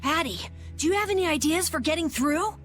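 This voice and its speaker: A woman's voice: very high-pitched, animated, fairly quick, a bit bright, soft background music. An actress playing an eager, easily rattled young boy adventurer in a video game. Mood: puzzled. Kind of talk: animated video game acting.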